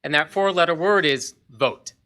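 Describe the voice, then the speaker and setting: Earnest man from Zambia and Zimbabwe, very bright tone, sharing an inspiration at a podium. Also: a little high, moderate pace, clear, resonant tone. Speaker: club member giving an inspiration. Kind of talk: short inspirational talk and recited pledge. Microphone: podium microphone.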